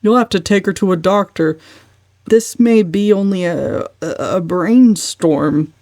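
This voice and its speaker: A woman voicing a kindly, nervous grey-haired man who speaks haltingly with stammers in a dramatic novel reading. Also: on the low side, a little mellow, dry recording, clean studio sound.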